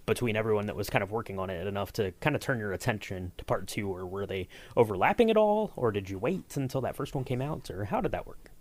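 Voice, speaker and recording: Low-voiced man, close dry sound. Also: no echo. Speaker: podcast host and sound designer. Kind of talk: casual behind-the-scenes interview chat.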